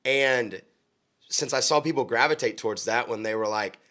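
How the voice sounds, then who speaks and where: A man's voice: medium pitch, moderate pace, bright, forceful tone. A young man from Oklahoma, a country singer, talking earnestly in a casual interview with frequent ums and restarts. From Oklahoma, USA.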